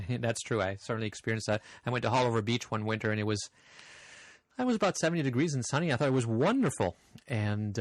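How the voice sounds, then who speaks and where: A man with a slight French accent who hosts a podcast, reading listener mail in easygoing, friendly chat with frequent pauses. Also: mid-range voice, dry recording. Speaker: podcast host.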